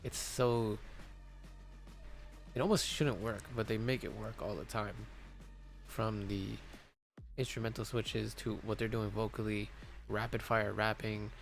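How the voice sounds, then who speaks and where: A man hosting a reaction video, chatting casually in a rambling, halting way full of fillers and restarts, and hoarse as if losing his voice. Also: on the low side, little pitch movement, soft background music.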